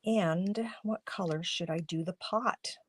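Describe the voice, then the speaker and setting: Woman host of a live craft stream, slightly hoarse, slow and chatty, greets viewers as she works. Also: lowish voice, noisy background. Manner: chatty, thinks aloud while coloring, greets viewers by name. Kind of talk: casual live crafting demo talking to viewers.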